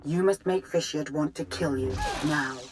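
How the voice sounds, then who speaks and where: Lady acting a stern sith minister, lowish voice, clipped and bossy, scheming, video game cutscene. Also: moderate pace, a little hiss or hum, natural voice. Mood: calculating, commanding. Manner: authoritative, clipped.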